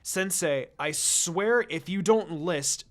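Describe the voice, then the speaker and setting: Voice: medium pitch, slow pace, lightly acted. A narrator voicing a determined, hot-tempered young ninja girl in a story reading, insistent and scolding. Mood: indignant.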